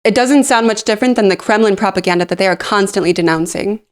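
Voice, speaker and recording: A woman's voice: medium pitch, quick pace. A woman co-hosting a political show in a scripted back-and-forth commentary.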